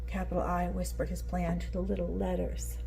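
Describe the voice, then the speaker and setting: A woman's voice: fairly low pitch, level tone, a little fast, gravelly, warm tone, slight background noise, low-quality recording. A woman reading a picture book aloud expressively and prompting young listeners with questions in a teacherly way. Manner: teacherly, addressing small children, prompting them with questions. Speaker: children's read-aloud storyteller.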